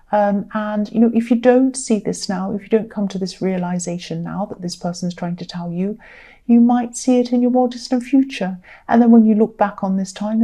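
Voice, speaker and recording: A woman giving a casual tarot reading, her voice croaky and tired, earnestly repeating phrases for emphasis. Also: mid-range voice, warm tone. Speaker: tarot reader.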